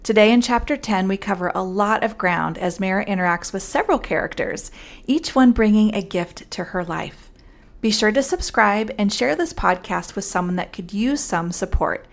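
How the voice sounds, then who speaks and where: A woman podcast host delivers a scripted introduction. Her voice is medium in pitch and she addresses the listener directly. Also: expressive, moderate pace, vocal fry.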